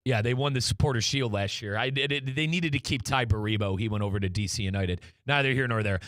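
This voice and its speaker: A man with an American accent hosts a conversational sports show. His voice is bright and forward, with a chatty, emphatic delivery aimed right at the listener. The sound is close and very dry. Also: medium pitch, quick pace, dry recording. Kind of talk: conversational sports talk with live sponsor reads.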